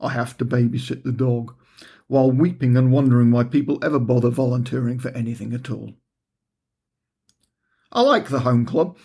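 A middle-aged man reads his own book in a husky voice that sits in an ordinary middle register. His delivery is dry and wry, and the humour stays understated. It sounds like an author narrating his own audiobook. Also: medium pitch, moderate pace, long pauses, warm tone. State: recovering from a heavy cold.

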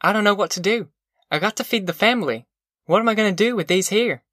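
Narrator putting on a worn farm mother, raised pitch, rural Southern American drawl, anxious, pleading, folksy, audiobook, dry recording. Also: normal speed, fairly bright tone, no room sound, lightly acted. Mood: helpless, pleading. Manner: plain-spoken folksy dialect, pleading.

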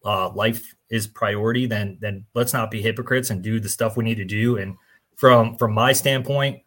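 A man's voice: on the low side, fairly even intonation, moderate pace, fairly bright tone. A man who is a firefighter and instructor speaks earnestly and analytically in a casual chat.

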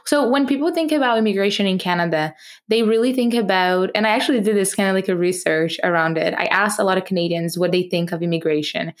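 A young woman speaks English with a noticeable foreign accent. She talks earnestly and with passion, often restarting her sentences and using fillers. Her pitch sits in the middle of the range. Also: quick pace. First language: not English. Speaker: podcast host. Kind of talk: personal talk about her project.

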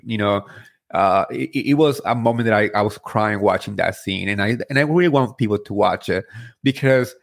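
A man from Spain with a Spanish accent hosting a podcast, talking through his thoughts in an earnest, heartfelt way with many fillers and restarts. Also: mid-range voice. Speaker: podcast host. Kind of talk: casual solo commentary.

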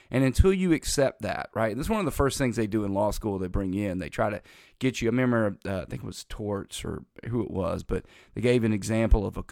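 A man's voice: medium pitch, vocal fry, darkish tone, no room sound, studio quality. A middle-aged man hosting a casual political talk show, rambling in a folksy way while congested from a lingering cold.

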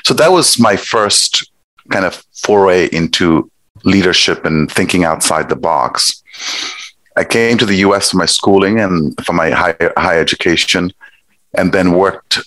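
Middle-aged man from Nepal, very low voice, dry sound; measured, thoughtful interview guest. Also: a bit bright, no echo, low-quality recording. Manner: thoughtful, measured, with pauses and fillers. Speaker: guest, executive director. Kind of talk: conversational interview.

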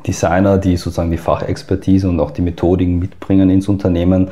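A man's voice: sits low, little pitch movement, fast talker, mellow. A man with an Austrian accent, a guest in an interview, explaining at length with frequent filler sounds. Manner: hesitant, many fillers. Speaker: guest expert.

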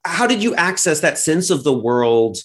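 A man's voice: fairly high pitch, sing-song, normal speed. A man hosting an interview, conversational and engaged, offering detailed questions and commentary.

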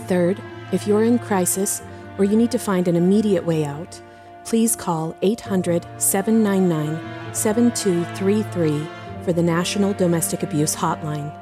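A woman with a slightly low voice reads a scripted podcast message. She is measured, earnest and supportive, with clear music playing behind her. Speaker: podcast cast member and announcer.

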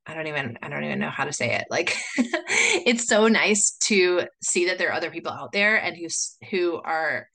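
A chatty woman from the United States hosts a solo podcast episode. Her voice sits fairly low but sounds bright and forceful. She wanders through her thoughts, leaning on plenty of "like" and "you know.". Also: very animated, moderate pace. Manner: chatty, rambling, full of "like" and "you know" fillers. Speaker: podcast host. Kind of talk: casual solo podcast talk.

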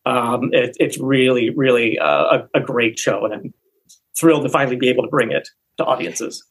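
A man's voice: mid-range voice, animated, normal speed, husky, rough recording. Theater director guy talking up his show, lots of uhs and likes. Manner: enthusiastic, many fillers. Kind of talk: interview guest.

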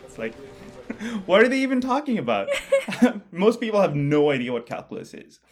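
A man from Bangladesh, co-hosting a casual podcast chat about math in a musing way that often trails off quietly. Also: high voice, very animated, normal speed, music in the background. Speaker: podcast co-host, mathematician.

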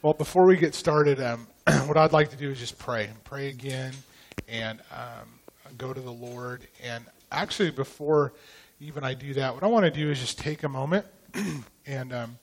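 A man from Idaho leading prayer and teaching at church, slightly raspy from a recent vocal cord infection, talking in a relaxed, loose way with many ums. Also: medium pitch, normal speed. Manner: relaxed, conversational, frequent ums and throat clearing. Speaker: pastor.

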